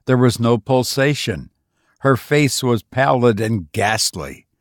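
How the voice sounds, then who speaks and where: A man narrating an old literary story as an audiobook reader, in a measured, solemn storytelling manner. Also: mid-range voice, expressive, no echo, clean studio sound.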